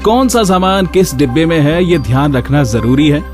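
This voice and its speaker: Higher-pitched male radio host over music. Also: animated, normal speed. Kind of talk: scripted radio narration. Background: music bed.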